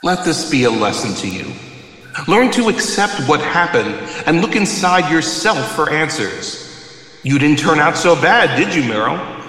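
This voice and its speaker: An actor playing the solemn, preachy ghost of a dead mogul in an audio play. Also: male voice, on the high side, big pitch swings, measured pace, fairly bright tone. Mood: earnest, consoling. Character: ghost of a dead corporate mogul, cleansed and unrepentant. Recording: echoey hall with long reverb.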